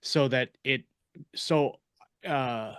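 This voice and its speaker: A man talking casually on a podcast, explaining in a halting way and often rephrasing as he goes. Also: medium pitch, noisy background. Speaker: podcast panelist and fiction writer.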